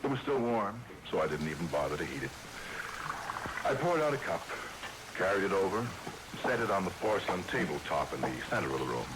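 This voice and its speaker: An actor playing a frightened man who tells, in hushed first person, of facing a deadly snake in a ship's galley, in 1940s radio drama style. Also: low voice, very animated, brisk, background noise. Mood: tense dread. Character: terrified man narrating his encounter with a deadly snake. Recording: old radio broadcast with loud music.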